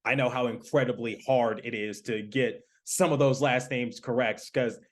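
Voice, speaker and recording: A man from Michigan hosting a sports podcast, asking questions in a halting way with many fillers. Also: mid-range voice, steady tone. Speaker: podcast host, hockey play-by-play announcer. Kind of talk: casual interview chat.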